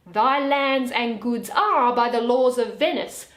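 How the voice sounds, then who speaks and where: A woman playing a stern, commanding young lawyer, speaking formal Shakespearean verse with deliberate emphasis. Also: fairly high pitch, fairly bright tone. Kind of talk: Shakespearean stage verse reading. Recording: roomy, echoing room sound.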